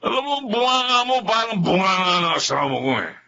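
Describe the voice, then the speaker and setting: A man's voice: sits high, very animated, brisk, creaky, bright, forceful tone, heavily acted. A man with a Filipino accent playing a crude, mocking, drunken neighbour in a radio drama. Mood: belligerent. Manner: drunken, taunting. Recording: dull, noisy radio drama recording.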